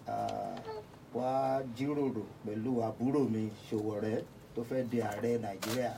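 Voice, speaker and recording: A man's voice: on the low side, steady tone, moderate pace, warm tone. A man with a Nigerian Yoruba accent speaking as a pundit guest in a live radio discussion. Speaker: radio guest, reverend and public analyst. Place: radio studio. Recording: distant microphone with clear background noise.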